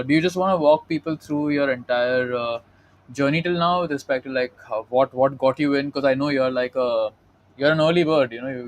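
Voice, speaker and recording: This is a man hosting a podcast in a casual, easygoing style. He leans on fillers and speaks to his guest with open, effusive praise. His voice is mid-pitched and plain. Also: rough recording. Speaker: podcast host. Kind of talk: casual interview chat.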